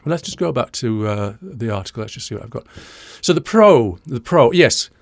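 A British man in his sixties presenting an explanatory audio commentary, deliberate and didactic, repeating key points, close to the microphone in a small studio. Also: medium pitch, lively intonation, no room sound. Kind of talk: explanatory reaction commentary to camera. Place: small indoor studio room, dry.

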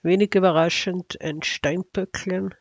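High-pitched Austrian man, dry and sardonic. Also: steady tone, unhurried, creaky, no echo, lo-fi sound. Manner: sardonic, ironic. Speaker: astrology video narrator. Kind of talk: casual unscripted commentary over slides.

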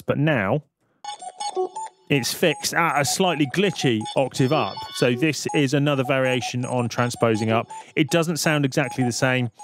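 A man giving an explanatory music production tutorial, thinking aloud as he talks through the steps. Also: medium pitch. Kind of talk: screen-recorded software tutorial narration.

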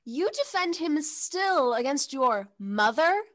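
Woman playing a high-voiced, pert lady's companion. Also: sing-song, slow, exaggerated voice. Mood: pert, teasing. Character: knowing, outspoken female companion of a young lady. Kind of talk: period stage comedy read aloud.

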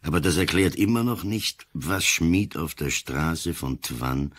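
An older man playing a gruff, cunning old police commissioner in a radio drama, slow and deliberate, weighing each word. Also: expressive, full character voice. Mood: measured. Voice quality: husky, very deep.

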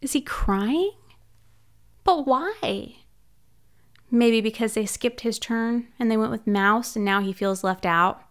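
High, slow, animated storytime woman. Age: young adult. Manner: slow, animated storytime delivery with playful sound-outs. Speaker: children's librarian. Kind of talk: reading a picture book aloud to children. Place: small home room, indoors. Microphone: large studio mic on arm in front of her.

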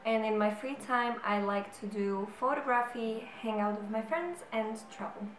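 A woman of twenty-four from Slovakia with a Slovak accent, chatting cheerfully to camera as she introduces her flat to a future flatmate. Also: a little high, a little hiss or hum. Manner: cheerful, giggly, quick to joke. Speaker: flatmate seeking a roommate. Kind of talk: casual chat to camera. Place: living room, indoors. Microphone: camera on a tripod. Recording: echoey room with music behind.

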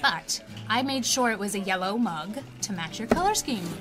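An actress playing a chirpy, tactful cafe barista in an audio drama. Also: female voice, medium pitch, lively intonation, normal speed, music in the background, natural voice. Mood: polite, placating.

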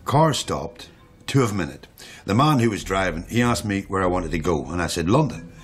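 A hitch-hiker in a radio play, giving a plain account in short phrases. His voice is low, with faint music behind it. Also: lively intonation, brisk, breathy edge. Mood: matter-of-fact. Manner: matter-of-fact, recounting in short phrases. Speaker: actor in a radio drama. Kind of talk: naturalistic radio drama acting.

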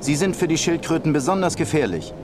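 A man's voice: a little high, animated, fast, lightly acted. Man acting a commanding soldier champion, noisy backdrop. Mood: earnest, briefing. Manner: commanding, briefing. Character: gruff male soldier champion escorting war turtles. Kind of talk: video game voice acting. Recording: noisy game battle ambience behind voice.

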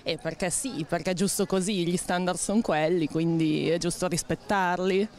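A woman's voice: on the low side, steady tone, normal speed, darkish tone. A young woman in a street interview on a busy city street, giving brief, firm answers into a handheld mic. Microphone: handheld mic held toward her.

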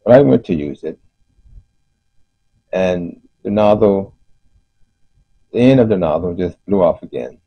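A man giving an unscripted explanation as host of a DIY video, speaking haltingly with frequent pauses. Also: deep voice, gravelly, breathy edge. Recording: muffled, roomy voice-over recording.

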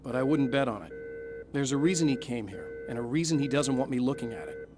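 A man's voice: medium pitch, a little fast, noisy background, close to an everyday voice. An actor playing a wary, determined man in his thirties in a video game, with a dry, questioning manner. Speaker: game protagonist. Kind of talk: video game character dialogue. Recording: music behind game dialogue.

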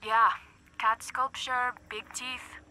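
A woman's voice: on the high side, flat delivery, slow pace, sharp tone, a little hiss or hum, heavily acted, rough recording. An actress playing a wry, practical woman who works as a local guide in a game cutscene. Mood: matter-of-fact. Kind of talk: naturalistic game cutscene acting.

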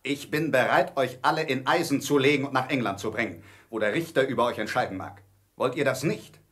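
An actor playing a stern, defiant sea captain in a radio play, clipped and authoritative with a threatening manner. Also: male voice, mid-range voice, a bit bright, close to an everyday voice.

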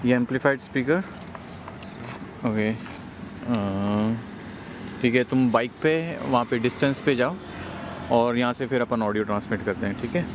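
A young man briskly directing his team during an outdoor test by a road at night, recorded on a camera. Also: mid-range voice. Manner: brisk, giving orders. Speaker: project lead directing a test. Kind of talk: casual instructions to a team. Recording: outdoor traffic noise. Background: passing vehicles.

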